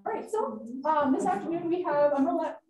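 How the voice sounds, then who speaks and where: A woman's voice: extremely high pitch, lively intonation, a little fast, mellow. Casual woman host making announcements. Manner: informal, lots of fillers. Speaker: event host. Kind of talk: announcements and speaker introduction. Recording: roomy hall, distant mic, light noise.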